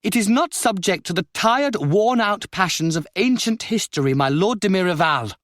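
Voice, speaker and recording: A man voicing an imperious noblewoman, a countess who speaks coldly and forcefully. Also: high voice, animated, moderate pace, no echo, studio quality, voice pushed up. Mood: commanding. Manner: cold, forceful, brittle.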